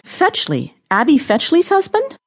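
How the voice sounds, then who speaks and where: An actress playing an earnest, inquisitive amateur sleuth in an audio drama, matter-of-fact and questioning, with a husky voice. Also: female voice, high voice, huge pitch swings, measured pace, exaggerated voice.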